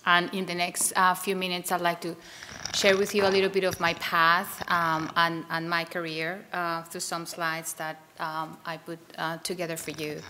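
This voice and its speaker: A woman in her forties, native Spanish speaker with a Colombian accent, giving a panel talk at a podium mic in a wood-paneled hall. Her voice sits on the low side. She speaks in a measured way, with frequent ums, and faint room noise is heard behind her. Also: flat delivery, bright, forceful tone. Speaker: transplant surgeon.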